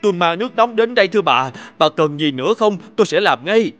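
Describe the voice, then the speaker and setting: A grateful new father from a magical kingdom in a cartoon fairy tale. He is courteous and eager, in a high, lively voice that swings widely, over background music. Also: fast, highs cut off. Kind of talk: animated fairy-tale dubbing.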